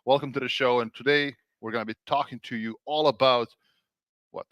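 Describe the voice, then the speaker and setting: Animated man hosting a livestream. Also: mid-range voice, brisk, no room sound, lo-fi sound. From Serbia. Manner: animated, laughing, many restarts and fillers. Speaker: host, developer advocate.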